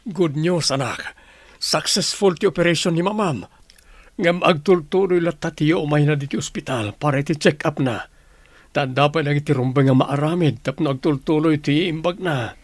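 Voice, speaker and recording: A man playing a gentle, reassuring father and husband in an Ilocano radio drama. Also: a little high, normal speed, dry recording, natural voice.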